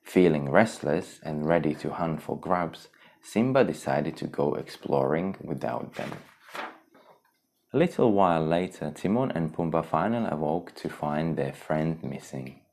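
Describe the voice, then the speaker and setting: A man reads a children's story in a very low voice. His delivery is unhurried and expressive, with big swings in pitch that give the storybook a theatrical feel. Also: vocal fry. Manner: theatrical, expressive, acts out exclamations. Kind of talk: animated storybook narration.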